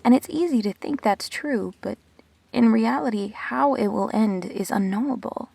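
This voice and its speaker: A woman acting an earnest, guarded immortal best friend in an audio drama, speaking in measured, reflective phrases with pauses. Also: on the high side, gravelly, dark tone, breathy edge, dry recording. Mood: earnest, persuasive.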